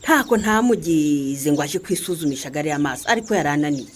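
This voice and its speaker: An actress playing a caring, resigned village mother in a radio drama, expressive and full of interjections. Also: low voice, fast. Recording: faint background noise with birdsong. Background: birdsong.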